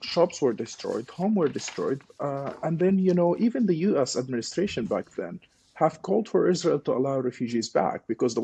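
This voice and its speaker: A man's voice: mid-range voice, vocal fry, warm tone, breathy edge. A man from Gaza giving personal testimony as a podcast guest, explaining as he goes and putting questions to himself. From Gaza, Palestine. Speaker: guest, peace initiative leader.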